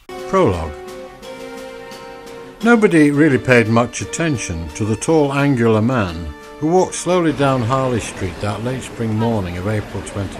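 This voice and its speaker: A man narrating a scripted radio drama in a theatrical, deliberate manner. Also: mid-range voice.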